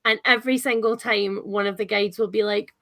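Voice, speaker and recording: A woman hosting a casual live book chat, talking in an enthusiastic, chatty way with animated reactions. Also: medium pitch, brisk, vocal fry. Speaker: host of a book channel livestream.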